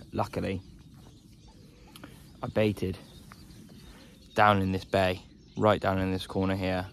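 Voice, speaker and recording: A young man in his twenties filming himself on a phone by a lake, talking casually to camera in a low-key, resigned way with plenty of "um"s. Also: level tone, slow, gravelly, long pauses, a little hiss or hum. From England. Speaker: carp fishing vlogger. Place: outdoors by a lake. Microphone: phone camera held at arm's length.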